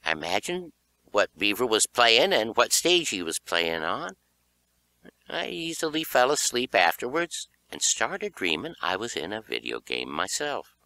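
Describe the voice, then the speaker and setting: A man narrating a children's horror audiobook in an expressive storytelling style. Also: fairly low pitch, measured pace, low-quality recording.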